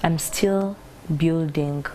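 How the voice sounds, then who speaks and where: A woman with a Ugandan accent talks through her career as a singer, her voice deep and low. She drifts between Luganda and English as she tells her stories. Faint noise sits under the recording. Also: extremely slow. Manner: mixes Luganda and English, reflective storytelling. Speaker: singer and guest. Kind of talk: casual interview talk.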